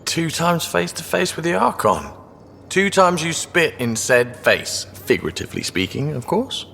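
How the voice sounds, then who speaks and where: Wry, lively spaceship crewman. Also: on the high side, normal speed, creaky, in a large hall, a little hiss or hum, heavily acted. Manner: wry, colloquial banter. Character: wisecracking, easygoing male spaceship crewman and card player. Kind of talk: video game dialogue acting.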